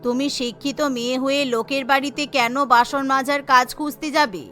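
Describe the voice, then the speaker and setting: Woman voicing practical older in-law, lowered pitch. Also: steady tone, a little fast, bright, forceful tone, faint music behind. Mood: encouraging advice. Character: concerned, practical older in-law of a poor household.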